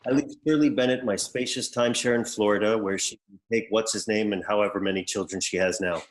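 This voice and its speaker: A man playing a pompous, deadpan executor who reads out bequests in a comedy script table read. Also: fairly low pitch, quick pace, close to an everyday voice, rough recording. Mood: formal, solemn. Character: pompous male executor reading out an eccentric bequest.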